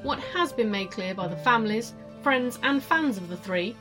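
Serious reporter over music. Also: female voice, a little high, animated, moderate pace, fairly bright tone. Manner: formal, earnest. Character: serious female news reporter narrating a report. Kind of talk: scripted news report.

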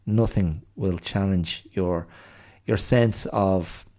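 A man's voice: low voice, slow, mellow. A man with a middle-class Dublin accent, hosting a show and musing aloud in a wry, reflective monologue. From Ireland. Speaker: podcast host.

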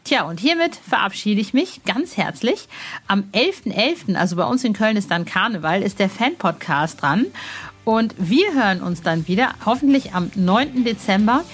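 A woman hosting a podcast in a casual, chummy, informal way, with a slightly husky voice. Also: medium pitch, lively intonation, normal speed. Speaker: podcast host. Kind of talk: casual chat and podcast presenting.

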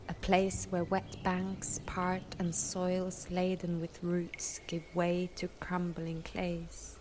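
A woman reading poetic, meditative story narration in a hushed, measured manner. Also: on the low side, a little hiss or hum. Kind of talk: poetic scripted narration for a meditative vision quest.

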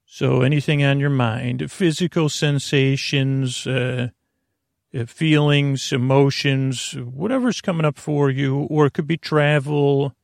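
Male sleep-podcast host: gravelly creak, mid pitch, soothing, halting, wandering monologue, dry close sound with faint music. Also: fairly even intonation, no echo. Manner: meandering, halting, keeps losing his thread; lulling, soothing delivery. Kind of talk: rambling, off-topic bedtime monologue.

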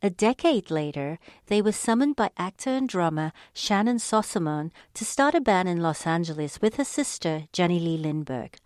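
A woman's voice: on the low side, lively intonation, normal speed, few pauses, a little mellow, no echo, studio quality. A woman from Singapore with a mixed, partly Australian accent, narrating and asking interview questions.

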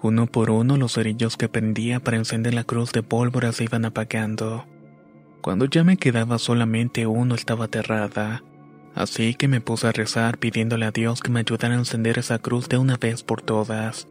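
A man narrates paranormal tales from a script in a deliberate, measured way. His mid-pitched voice stays very even, with little rise or fall. Music plays behind him. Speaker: narrator of listeners' paranormal stories. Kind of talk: scripted horror story narration. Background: background music.

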